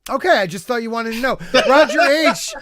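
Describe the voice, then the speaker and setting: Bright-voiced joking podcast host. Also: male voice, extremely high pitch, lively intonation, a little fast. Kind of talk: casual chat reading chat names.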